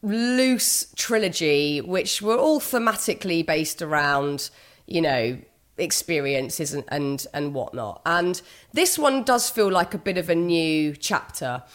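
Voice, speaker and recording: Candid, slightly husky woman. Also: fairly low pitch, expressive, takes it slowly. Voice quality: a little husky at times. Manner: candid, earnest, with frequent restarts and quoted inner thoughts. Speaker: guest author and broadcaster. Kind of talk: conversational interview.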